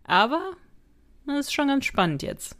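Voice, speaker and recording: A woman's voice: sits high, big pitch swings, moderate pace, fairly bright tone. A woman co-hosting a podcast, commenting casually and conversationally on a story. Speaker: podcast co-host. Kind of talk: casual chat, commenting on an audio drama.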